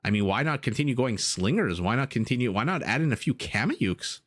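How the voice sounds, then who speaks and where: A man giving excitable live commentary on a strategy game, his voice raspy and his throat dry, with fillers and laughs. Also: mid-range voice, a little fast. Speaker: game caster. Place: home room.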